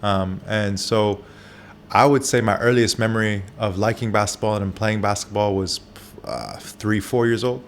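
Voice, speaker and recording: A man who grew up in Japan, a barber, answering interview questions candidly, with soft pauses and frequent fillers. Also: lowish voice, slight background noise.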